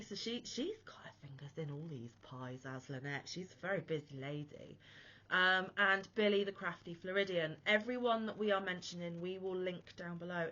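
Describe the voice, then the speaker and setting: A woman's voice: on the low side, a bit bright, muffled recording. A woman hosting a crochet channel, chatting casually to camera while unwell, a bit wheezy and chesty, with a rambling, self-deprecating manner.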